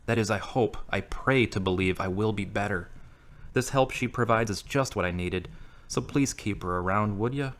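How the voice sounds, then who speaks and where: A man's voice: fairly low pitch, moderate pace, close to an everyday voice. A man acting a brooding, earnest, troubled character in an audio drama. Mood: prayerful, searching.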